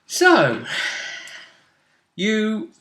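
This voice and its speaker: A man chatting casually as a game master, recounting a tabletop session like an animated storyteller. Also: sits high, extremely slow. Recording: echoey untreated room.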